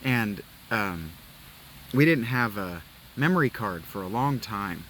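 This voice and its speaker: Man, from Ohio, rambling gameplay chatter, low pitch, bright tone. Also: expressive, takes it slowly, slight background noise, rough recording. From Ohio, USA. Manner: rambling, nostalgic, swears casually. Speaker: let's play gamer. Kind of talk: casual gaming commentary.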